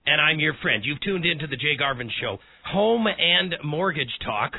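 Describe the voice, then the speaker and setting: Raspy hoarse guy on talk radio, high-ish voice, dry tinny sound like a phone line. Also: lively intonation, normal speed, dry recording. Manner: folksy, direct address to listeners. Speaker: radio talk show host. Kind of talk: casual one-on-one radio talk.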